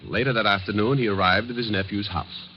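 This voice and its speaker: An actor playing a folksy, amused American storyteller, narrating a 1950s radio drama in scripted style. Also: male voice, fairly low pitch, a little fast, noisy background, natural voice. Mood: amused, storytelling. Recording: muffled vintage radio recording with music.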